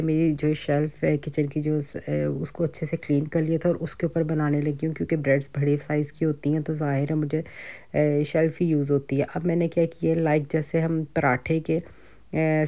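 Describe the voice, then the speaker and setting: Chatty Pakistani woman, mellow flat voice. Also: deep voice. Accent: Pakistani Urdu. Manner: chatty, conversational, frequent fillers and asides. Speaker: home cooking vlogger. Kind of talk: casual cooking and grocery vlog.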